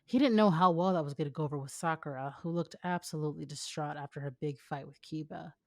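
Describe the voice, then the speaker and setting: Slightly low female voice, dry room sound, chatty read-aloud, wry, colloquial. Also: fast, a little mellow, no room sound. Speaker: co-host of a fan fiction reading show. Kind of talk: casual chat, reading fan fiction aloud.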